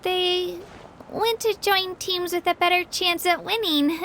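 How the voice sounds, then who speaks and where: A woman voicing a sweet, timid young girl adventurer in an anime game, with a soft girlish voice. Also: extremely high pitch, steady tone, takes it slowly, a little hiss or hum, heavily acted. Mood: downcast. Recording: music behind.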